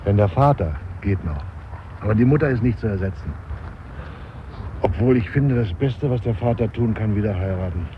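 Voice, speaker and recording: A man's voice: lowish voice, normal speed, close to an everyday voice. An actor playing a blunt, opinionated funeral mourner who chats and breaks off his sentences, in a naturalistic radio play. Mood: grave, chatty. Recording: dull 1970s radio, faint outdoor noise.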